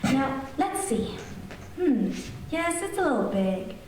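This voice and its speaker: A woman's voice: sits high, big pitch swings, slow, darkish tone, full character voice. A woman playing a fussy, kindly middle-aged housewife in old-time radio comedy style. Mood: fussy, chatty. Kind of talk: 1940s radio comedy acting. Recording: very echoey, distant, faint noise.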